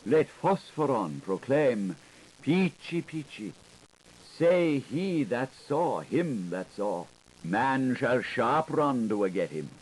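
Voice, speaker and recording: A man's voice: medium pitch, big pitch swings, slow pace, low-quality recording. A man narrating a literary audiobook in a theatrical, playful way, with shifting emphasis, on an old tape recording. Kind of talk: literary audiobook reading, dramatic scripted narration. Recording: hissy 1980s audiobook tape.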